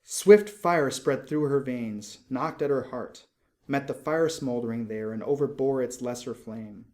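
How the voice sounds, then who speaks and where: A man reading narrative poetry aloud as a dramatic narrator, expressively, in measured phrases with deliberate pauses. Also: medium pitch, darkish tone.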